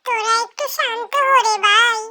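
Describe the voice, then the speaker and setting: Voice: extremely high pitch, fairly even intonation, normal speed, sharp tone, dry recording, exaggerated voice. A performer voicing a young orphaned duckling in a cartoon, plaintive and pleading. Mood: reassuring. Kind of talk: cartoon voice acting.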